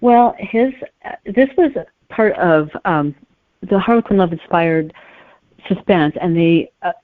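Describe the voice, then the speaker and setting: A woman's voice: fairly low pitch, measured pace, no room sound. A woman speaking as a guest author, giving conversational answers in an interview. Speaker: guest author discussing her book. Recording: narrow phone-like line, dry.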